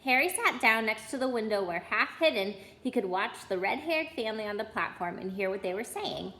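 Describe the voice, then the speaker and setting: A woman reads a storybook aloud to children. Her voice is fairly high, with a very lively melody that rises and falls expressively. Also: a little fast.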